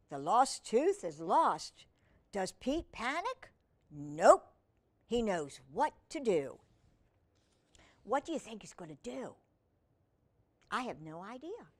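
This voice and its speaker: A woman with a fairly high voice reads a picture book to young children. She takes her time, with a very slow pace and lively swings in pitch. Her wording is simple, and she often turns to the listener with questions. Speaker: children's storyteller and humane educator.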